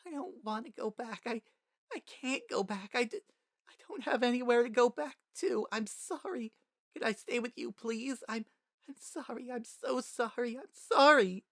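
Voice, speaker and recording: A man's voice: very high-pitched, moderate pace, a bit bright, no echo, clean studio sound, voice pushed up. A man voicing a sobbing frightened child. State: crying.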